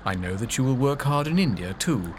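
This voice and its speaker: A man's voice: medium pitch, lively intonation, brisk, natural voice. A man playing a cold, stern, dutiful man in an audiobook, commanding and insistent, with faint noise behind him. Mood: firm, instructing. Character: cold, stern, dutiful clergyman demanding marriage.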